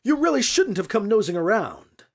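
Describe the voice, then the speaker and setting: A man voicing a menacing, short-tempered woman director who gives sharp, threatening orders in an audiobook story. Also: sits high, very animated, normal speed, pitched above the performer's own voice, exaggerated voice. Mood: cold menace.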